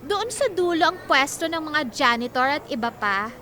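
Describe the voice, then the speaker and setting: Shrill, snobbish young office bully. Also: female voice, lively intonation, moderate pace, pushed and bright. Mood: mocking. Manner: sneering, disgusted, mocking. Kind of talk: Filipino radio drama acting. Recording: music bed under dialogue.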